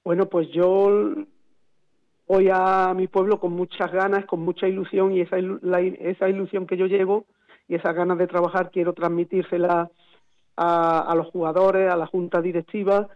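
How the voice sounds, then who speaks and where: A man's voice: high voice, flat delivery, normal speed. A man, a football coach speaking by phone, hesitant and repeating words. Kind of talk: phone interview. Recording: tinny phone line.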